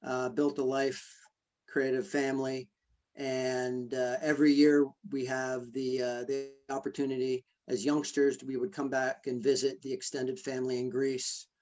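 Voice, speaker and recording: A man answering interview questions in a halting, reflective way, with many fillers and restarts. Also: mid-range voice, flat delivery, darkish tone. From United States. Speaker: guest, ultrarunner. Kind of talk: casual interview conversation.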